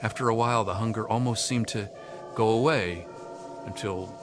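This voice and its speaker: A man's voice: fairly low pitch, moderate pace, noisy background, lightly acted. An actor playing a troubled, earnest young man haunted by hunger, confiding hesitantly as video game dialogue. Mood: troubled, reflective. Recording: music behind the voice.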